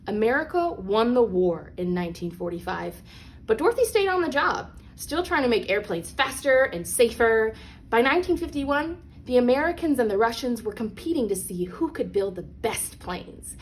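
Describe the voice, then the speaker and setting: A woman with a fairly high voice reads a picture book aloud to children. She phrases things deliberately and leans on key words for young listeners. There is some noise in the recording behind her. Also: sing-song. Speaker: read-aloud storyteller. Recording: clearly noisy background.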